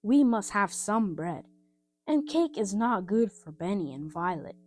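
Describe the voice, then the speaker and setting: Woman reading as an earnest older boy, animated pitch. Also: a little slow. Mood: earnest, kindly. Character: earnest, polite orphan boy, the responsible older brother.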